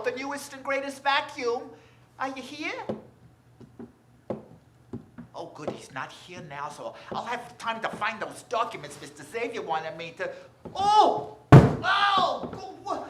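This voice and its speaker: Woman acting a high, flustered store worker in radio drama. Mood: nervous searching. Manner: stammering, gasping, exclaiming aloud. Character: flustered, nosy department store employee, nervous and talkative. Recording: roomy stage sound with clear noise.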